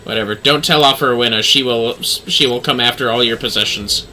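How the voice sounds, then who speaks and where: A man's voice: medium pitch, brisk, pushed and bright, faint music behind, poor audio. A man giving casual, rambling livestream game commentary, swearing now and then. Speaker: game streamer.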